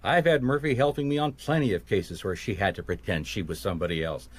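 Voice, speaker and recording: A man's voice: on the low side, fairly even intonation, quick pace, natural voice. A man playing an easygoing, wry private detective in a radio mystery drama, with a casual manner. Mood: teasing, admiring.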